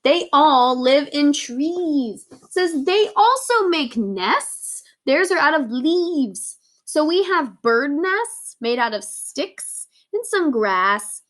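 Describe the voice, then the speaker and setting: High-voiced woman teaching young children, unhurried. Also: sing-song, a bit bright. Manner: simple explanatory phrasing with emphatic repeated words. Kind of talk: read-aloud lesson for young children, explaining a picture book.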